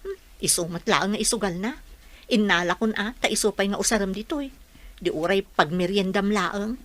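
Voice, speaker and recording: A woman's voice: mid-range voice, normal speed, a bit bright, natural voice. An actress playing a concerned, frank young woman in a radio drama. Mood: reproachful.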